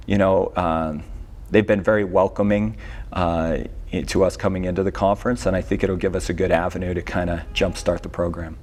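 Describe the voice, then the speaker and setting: A man speaking as a college coach in a casual sports interview, explaining in a hesitant, measured way. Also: low-pitched, steady tone, darkish tone. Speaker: college swimming head coach.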